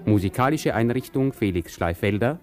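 A man formally announcing radio drama credits in a measured, scripted manner. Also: mid-range voice, lively intonation, soft background music.

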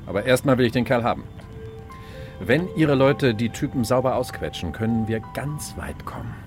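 An actor playing a smug, calculating official, condescending and wry, in a radio crime drama. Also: male voice, mid-range voice, expressive, normal speed, music in the background, lightly acted. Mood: calculating, self-satisfied. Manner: smooth-talking, condescending, wry. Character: smug, calculating male official, cool and ambitious.

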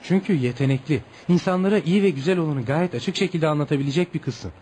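Actor as fast-talking, kindly visiting writer. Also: male voice, on the high side, darkish tone, natural voice. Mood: kindly, encouraging. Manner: avuncular, inquisitive, reassuring. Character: kindly, curious male foreign writer, patient adviser. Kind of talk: Turkish radio theatre acting. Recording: background noise and ambience.

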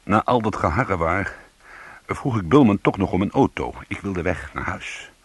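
An actor playing a world-weary, streetwise crook in a radio crime drama, with a husky, gravelly voice and slangy, hard-boiled delivery. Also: male voice, deep voice, animated, moderate pace, slight background noise. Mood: wry, bitter. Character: world-weary, streetwise male crook telling his own story. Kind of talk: radio crime drama, first-person narration and dialogue.

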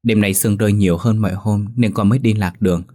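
A man voicing a timid young adopted daughter in a storybook, soft and pleading. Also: fairly low pitch, steady tone, quick pace, natural voice.

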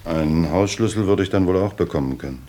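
An actor playing a reserved, polite, slightly indolent young gentleman in his twenties in a German radio play, speaking formally and hesitantly. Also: sits low, quick pace, natural voice. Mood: polite, curt. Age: 20s.

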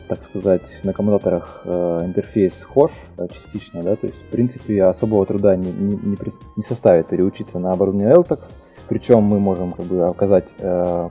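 A man's voice: sits low, dark tone, husky. A man answering as an interview guest, hesitant and padding his explanation with filler phrases. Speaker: guest, telecom equipment specialist.

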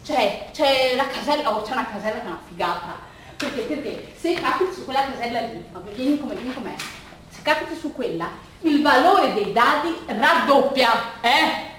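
High-voiced, rambling woman on an echoey stage. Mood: excited, wry. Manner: halting, repetitive, animated explaining. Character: agitated, rambling woman telling a bittersweet story. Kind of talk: theatrical monologue, colloquial and addressing the audience. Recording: roomy, distant stage recording, faint noise.

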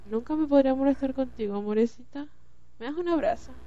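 A woman acts out a bossy but forgiving young witch prefect in an amateur radio play. Her tone is very mellow, her manner dry and sardonic. The home-made recording is slightly muffled. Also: high-pitched, brisk, lightly acted. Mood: soft, fond.

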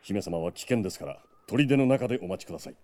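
An actor playing a gallant, dutiful knight commander with a formal, commanding manner in a fantasy audio drama. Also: male voice, fairly low pitch, lively intonation, fast talker, warm tone, close to an everyday voice. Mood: solemn, reassuring. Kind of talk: Japanese fantasy drama CD acting.

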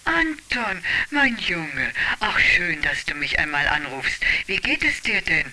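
A woman's voice: fairly low pitch, big pitch swings, takes it slowly, pushed and bright, full character voice. A woman playing an affectionate, slightly puzzled old grandmother in a German radio drama. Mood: affectionate, musing. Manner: affectionate, musing. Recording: hissy, faintly noisy radio sound.

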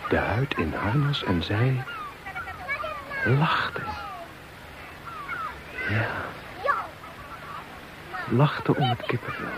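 An actor playing a brooding desert soldier in a radio drama, speaking in halting, incantatory phrases. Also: male voice, on the low side. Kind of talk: poetic radio drama monologue. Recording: very noisy radio recording, background hubbub.

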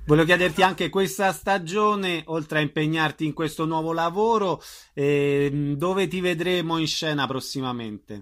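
A man with a slightly high voice conducts an interview. He phrases his questions conversationally, as an interviewer on a radio-style programme would. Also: moderate pace, few pauses, fairly bright tone.

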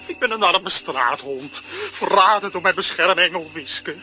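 A man's voice: high voice, lively intonation, a little slow, bright, forceful tone, faint music behind, exaggerated voice. An actor playing a proud, self-pitying male street dog who calls himself a detective, in a children's radio play. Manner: theatrical, self-pitying and boastful.

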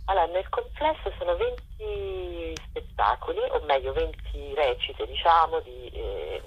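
A woman's voice: deep voice, lively intonation, unhurried, husky. A woman speaking as a festival director in an informal radio interview, hesitant and searching for words. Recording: dull lo-fi telephone line.

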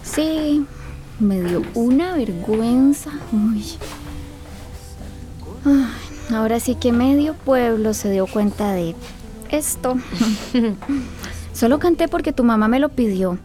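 A woman's voice: fairly high pitch, expressive, slow pace, pauses often, warm tone, soft background music. An actress playing an anxious, affectionate pregnant teenage girl with a Costa Rican accent in a radio drama. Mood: worried, confiding.

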